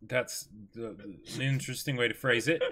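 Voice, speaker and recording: Young American man, casual mid-pitched commentary. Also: moderate pace, bright, forceful tone. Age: 20s. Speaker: co-host of a manga reading stream.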